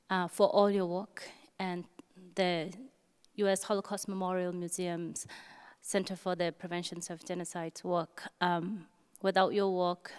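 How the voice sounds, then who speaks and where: A woman from Burma speaks formally as a panelist, with measured, careful phrasing and pauses. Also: lowish voice, clear, resonant tone. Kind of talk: formal thanks and testimony at an event. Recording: echoey hall sound.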